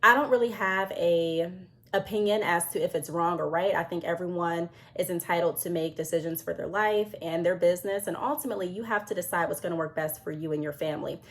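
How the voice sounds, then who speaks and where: A woman's voice: lowish voice, brisk. A woman in her thirties, congested from a cold, giving casual advice to camera with frequent ums while glancing at her notes. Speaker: business vlogger.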